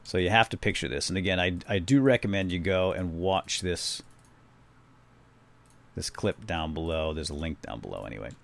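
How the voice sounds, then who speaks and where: Deep-voiced man telling a story over gameplay. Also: normal speed, vocal fry. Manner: rambling, many fillers, lively retelling. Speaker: let's play host. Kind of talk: casual gameplay commentary and storytelling.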